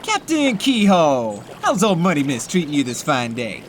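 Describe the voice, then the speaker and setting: A man performs a chatty young gangster cat for a comic dub. His delivery swings widely in pitch, sitting a little high. He is sarcastic and showy, with loud vehicle noise behind him. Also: wildly swooping pitch, normal speed. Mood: chipper. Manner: glib, theatrical, sarcastic. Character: cheeky, chatty young male bootlegger cat. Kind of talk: comic dub character acting.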